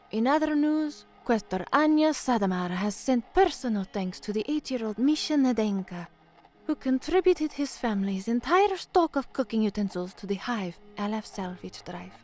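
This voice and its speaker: Actress as an anxious young woman, high-voiced. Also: lively intonation, moderate pace, darkish tone, soft background music, natural voice. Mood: formal, composed. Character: anxious young working-class woman, trainee radio newsreader. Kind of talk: audio drama acting with first-person narration.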